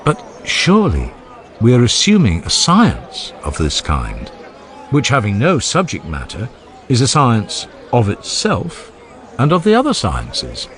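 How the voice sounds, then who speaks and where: A man's voice: medium pitch, huge pitch swings, unhurried, fairly bright tone, pitched above the performer's own voice. A man reading the part of an earnest, genial ancient philosopher who questions relentlessly, step by step, in a dramatized audiobook dialogue. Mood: questioning, emphatic. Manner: rhetorical, emphatic, step-by-step questioning.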